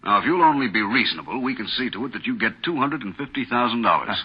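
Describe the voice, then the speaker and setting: An actor playing a polite, formal, businesslike bank official in an old radio drama. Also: male voice, medium pitch, animated, quick pace, husky. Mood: businesslike persuasion. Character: formal, reasonable male bank official pressing a debtor. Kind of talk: 1940s radio drama acting. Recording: muffled vintage 1940s radio recording.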